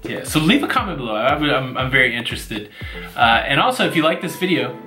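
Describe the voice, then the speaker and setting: A man's voice: mid-range voice, big pitch swings, fast talker, sharp tone, roomy sound, faint music behind. A man in his forties co-hosting a casual vlog, rambling and self-deprecating with lots of filler words, seated beside his co-host. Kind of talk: casual chat. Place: indoors, seated before a virtual backdrop.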